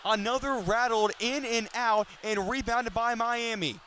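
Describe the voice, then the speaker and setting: High-pitched young male basketball play-by-play announcer. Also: steady tone, measured pace, bright, forceful tone. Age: 20s. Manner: detailed, polished broadcaster delivery. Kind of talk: live radio basketball play-by-play. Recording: live sports broadcast with arena noise. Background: basketball arena ambience.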